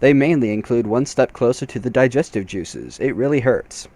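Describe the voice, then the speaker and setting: Man voicing a know-it-all young guide, lively pitch. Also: normal speed, no echo. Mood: instructive. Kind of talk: comedic storybook character reading.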